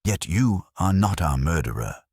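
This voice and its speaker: A man playing a shrewd, courteous Belgian detective in an audiobook, speaking in formal, measured, theatrical deductions. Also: sits low, very animated, a little mellow, husky, no echo, studio quality, heavily acted. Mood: gentle, deductive.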